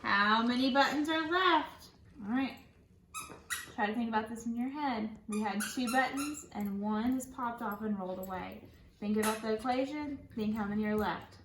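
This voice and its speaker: A woman schoolteacher reading a picture book to young children in an animated, sing-song way, pausing to put questions to them. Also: fairly high pitch. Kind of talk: read-aloud picture book lesson for children. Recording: echoey room, faint background noise.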